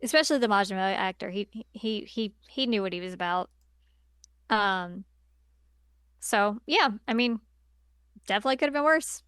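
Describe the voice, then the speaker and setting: A woman's voice: medium pitch, expressive, normal speed, pauses often, fairly bright tone, clean tone, dry recording, studio quality. A woman co-hosting a podcast, reviewing films in casual, playful and agreeable conversation. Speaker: podcast co-host. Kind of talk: casual film review chat.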